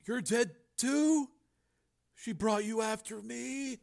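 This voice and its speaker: A man's voice: very high-pitched, big pitch swings, normal speed, voice pushed up, full character voice. A man voicing a drugged, raving younger brother in an audiobook, paranoid and terrified. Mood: dazed, suspicious. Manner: raving, paranoid, shouting in bursts. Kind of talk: audiobook character acting.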